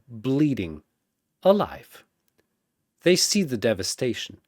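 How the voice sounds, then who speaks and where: Dramatic male narrator, slow and very dynamic. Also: mid-range voice, dry recording, studio quality. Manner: theatrical, suspenseful. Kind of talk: dramatic scripted battle narration.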